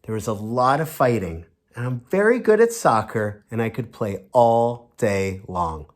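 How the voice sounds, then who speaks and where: A man's voice: mid-range voice, expressive, measured pace, lightly acted. A man voicing earnest, friendly refugee children introducing themselves in a storybook read-aloud, expressively.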